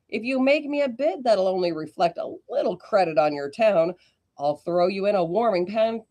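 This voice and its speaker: A woman voicing a brash old male street auctioneer who teases the crowd in loud, rising sales patter. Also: medium pitch.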